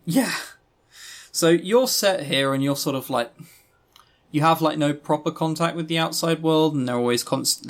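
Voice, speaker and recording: A man's voice: medium pitch, fairly bright tone. A man co-hosting a podcast, giving an emphatic, rambling, opinionated review in casual talk. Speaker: podcast co-host. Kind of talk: casual review commentary on visual novels.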